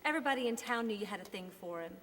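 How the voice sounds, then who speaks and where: A woman plays a defiant, catty small-town woman. She is snide, mid-pitched, in a reverberant hall with slight noise. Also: expressive, very fast, fairly bright tone, natural voice. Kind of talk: film drama dialogue. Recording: distant echoey hall, faint noise.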